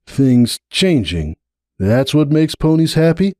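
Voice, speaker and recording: Man playing a brash young colt, animated pitch. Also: takes it slowly, no room sound, studio quality. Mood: doubtful. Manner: casual, questioning, slightly awkward. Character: brash, uneasy young male pony, an athletic nephew. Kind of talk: dramatic audiobook character reading.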